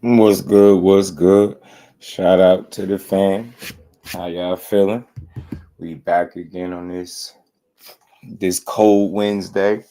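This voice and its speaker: A man hosting a casual boxing livestream, slightly hoarse, greeting viewers with loose slang before getting into blunt commentary. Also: low voice, level tone, takes it slowly, long pauses, warm tone. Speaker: boxing show host.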